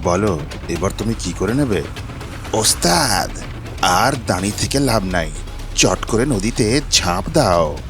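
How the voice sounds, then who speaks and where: A man playing a fawning, excitable henchman of a village bully in a cartoon. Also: fairly low pitch, unhurried, long pauses. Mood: goading. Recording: music playing behind the voice.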